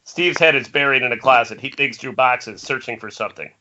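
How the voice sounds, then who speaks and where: A man's voice: mid-range voice, fairly quick, sharp tone. A man narrating the stage directions of a screenplay in an amateur table read. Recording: dull, noisy internet call audio.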